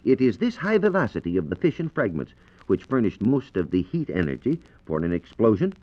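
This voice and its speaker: A man with a lowish American voice explains science as a 1940s radio reporter. His delivery is precise and instructive, with lively rises and falls, on a muffled vintage broadcast recording. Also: brisk.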